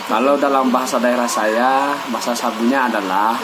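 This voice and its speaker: A young man from Sabu island speaks at an unhurried, deliberate pace, saying each word twice so viewers can follow. His voice sits at an ordinary middle pitch. A steady hum like a hair dryer runs behind him the whole time. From Sabu island, East Nusa Tenggara, Indonesia. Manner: slow, deliberate, pointing at his face and repeating words. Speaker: vlogger teaching his local language. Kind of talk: casual to-camera language lesson.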